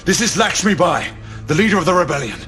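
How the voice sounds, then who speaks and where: A man's voice: high voice, animated, fast, fairly bright tone. A man acting a determined, loyal knight in a video game cutscene, with a grave, earnest delivery. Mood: insistent. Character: determined, loyal knight turned ally of rebels. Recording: dramatic music behind the voice.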